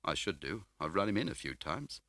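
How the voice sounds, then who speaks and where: A man's voice: deep voice, big pitch swings, brisk, a bit bright, dry recording, voice pushed up, heavily acted. A man voicing a chatty, matter-of-fact male police constable with a colloquial, knowing manner in an audiobook. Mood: helpful, knowing. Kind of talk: audiobook character voice.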